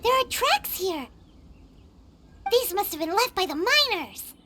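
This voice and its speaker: A woman voicing a small, excitable girlish fairy companion in a video game. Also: extremely high pitch, a little slow, heavy background noise, heavily acted. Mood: eager, excited. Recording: loud game music behind.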